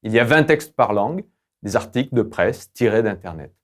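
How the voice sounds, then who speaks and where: A man's voice: fairly low pitch, expressive. Man narrating a formal, scripted lesson. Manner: formal, didactic, measured academic delivery. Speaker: lecturer presenting language-learning tools.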